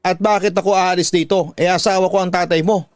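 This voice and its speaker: A man's voice: high voice, steady tone, fast talker, dry recording, studio quality, voice pushed up, lightly acted. A man voicing a brazen, spiteful woman, a mistress in a radio drama, snappy, defiant and insolent. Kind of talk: Tagalog radio drama acting.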